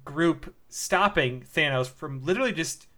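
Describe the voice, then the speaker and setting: A man's voice: a little high, expressive, unhurried, a loud crowd. A man co-hosting a podcast, chatting casually, hesitating often with restarts and fillers. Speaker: podcast co-host. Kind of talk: casual chat.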